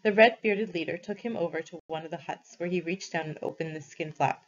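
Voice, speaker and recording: A woman's voice: on the low side, fairly quick, dull audio. A woman reading a story aloud expressively, as a teacher does for students.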